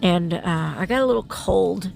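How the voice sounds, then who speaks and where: A woman's voice: lowish voice, moderate pace, creaky, a little mellow, faint music behind. A woman vlogger narrating her beading in a casual voiceover, with a rambling, self-deprecating way of talking and a stuffy, sniffly nose.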